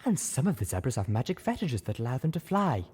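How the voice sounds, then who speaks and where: A man's voice: medium pitch, sing-song, fast talker, a little mellow, a little hiss or hum, pitched above the performer's own voice, lightly acted. A man voicing a refined female unicorn, speaking sweetly but primly and cuttingly.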